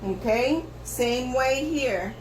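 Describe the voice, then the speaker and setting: A woman hairstylist whose first language is Spanish, giving slow step-by-step instructions for a haircut, with lots of repetition. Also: sits high, slight background noise. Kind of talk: haircut tutorial narration. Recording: echoey room with faint ambience.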